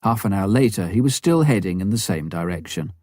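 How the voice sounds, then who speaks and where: Low, mellow male story narrator. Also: animated, brisk, dry recording, clean studio sound. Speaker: audiobook reader.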